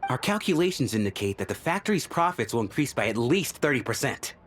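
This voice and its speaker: A man's voice: mid-range voice, very animated, fast talker, over music, natural voice. A man playing an eager, deferential business aide in a video game drama. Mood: businesslike.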